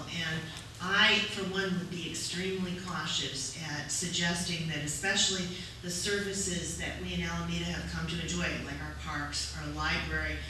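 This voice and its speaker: A woman speaking as a city council candidate at a public forum, making an earnest, measured argument. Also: on the low side. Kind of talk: forum answer to a question. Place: community center meeting room. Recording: echoey room from far off, faint noise.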